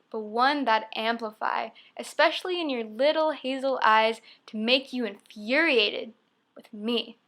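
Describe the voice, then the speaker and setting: A young woman delivers spoken-word poetry. Her voice sits a little high and has a bright, forward edge. She recites expressively, breaking the verse into short, emphatic phrases. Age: young adult. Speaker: poet.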